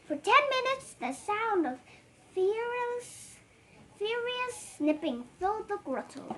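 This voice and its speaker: A child reading a storybook aloud in an animated read-aloud style. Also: very high voice, takes it slowly, a little hiss or hum. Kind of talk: reading a picture book aloud.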